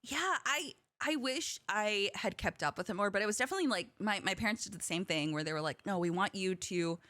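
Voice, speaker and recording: A woman's voice: medium pitch, lively intonation, bright, forceful tone, no echo. A woman who grew up speaking Korean, hosting a podcast in a chatty, giggly way. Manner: giggly, chatty, quick to laugh. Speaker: podcast host. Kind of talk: casual podcast chat.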